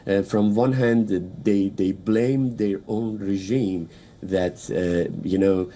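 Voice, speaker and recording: A man from Iran speaking English with a Persian accent, a political analyst giving a halting live news interview full of fillers and restarts. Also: lowish voice, steady tone, dark tone, slight background noise.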